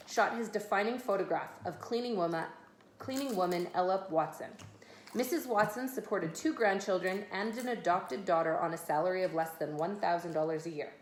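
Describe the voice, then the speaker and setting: A mother reading a children's biography aloud at home, with casual asides to her kids. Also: mid-range voice. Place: echoey room at home. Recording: echoey room, faint background noise.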